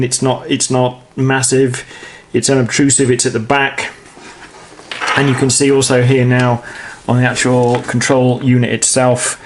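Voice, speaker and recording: A British man talks through a hands-on installation step by step. He is informal and matter-of-fact, explaining as he works at a medium pitch. There is some faint noise and clicking on the recording. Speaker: presenter of a how-to product installation video. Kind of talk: informal step-by-step demonstration commentary.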